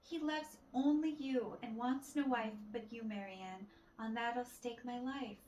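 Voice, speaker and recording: A woman voicing a sassy, sharp-tongued lady's maid who scolds theatrically, as in a storybook play. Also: on the high side, measured pace, a little hiss or hum, close to an everyday voice. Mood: bossy, exasperated. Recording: roomy echo with music behind.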